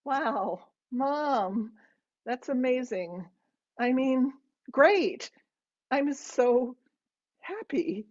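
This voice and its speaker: A woman's voice: mid-range voice, very animated, unhurried, a little mellow, slightly rough, lo-fi sound, voice pushed up. A woman narrator voicing a middle-aged daughter who is stunned and resentful but forcing politeness, in a strained, guarded manner. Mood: forced enthusiasm.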